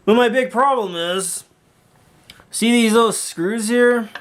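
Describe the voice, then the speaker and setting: A man's voice: extremely high pitch, takes it slowly, a bit bright. A man talking informally in a hands-on product demo vlog, rambling a little and correcting himself as he goes. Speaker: video maker reviewing audio gear.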